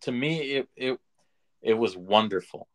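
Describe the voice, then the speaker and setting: A man co-hosting a casual movie review chat, talking conversationally and haltingly, repeating phrases for emphasis. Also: mid-range voice, dry recording. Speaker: podcast co-host.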